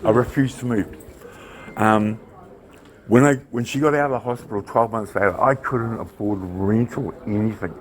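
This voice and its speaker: A New man arguing his case loudly and insistently with police in a government office. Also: fairly low pitch, moderate pace, rough recording. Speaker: member of the public confronting police. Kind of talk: heated argument. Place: government office lobby, indoors.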